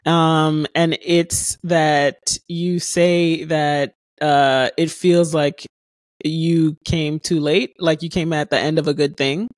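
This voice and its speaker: A woman's voice: low voice, fairly even intonation, slow, no room sound, studio quality. A woman from Haiti who grew up in the US, hosting a podcast, talking at length with many fillers. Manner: talkative, warm rambling with many fillers. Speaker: podcast host. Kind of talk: casual interview.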